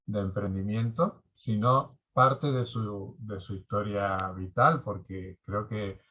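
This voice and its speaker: Male interview host, fairly deep, polite and deliberate, recorded over a dull-sounding online call. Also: breathy edge. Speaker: podcast host. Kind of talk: conversational podcast interview. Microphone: remote online call.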